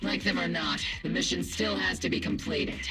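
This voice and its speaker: Lightly high, businesslike squad leader played by a man. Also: normal speed, music in the background, lightly acted. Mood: businesslike, wry.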